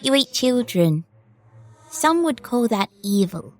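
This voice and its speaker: A woman playing a stern, precocious little girl in an audio horror drama, speaking in a formal, measured way. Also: a little high, sing-song, a little mellow, no room sound. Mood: cool, accusing. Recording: music under the voice.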